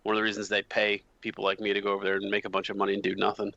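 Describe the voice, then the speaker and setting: A man's voice: lowish voice, monotone, very fast, creaky, bright, forceful tone, no echo. An American man chatting casually as a podcast panelist, rambling with lots of fillers. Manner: loose and rambling, heavy use of "like". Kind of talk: casual chat. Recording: slightly muffled dry mic.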